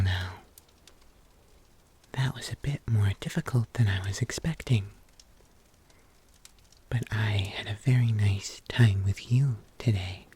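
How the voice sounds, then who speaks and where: An ASMR performer playing a kindly, patient locksmith, murmuring gently and unhurriedly to the listener, close to the microphone. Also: low-pitched, husky. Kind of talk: whispered ASMR roleplay.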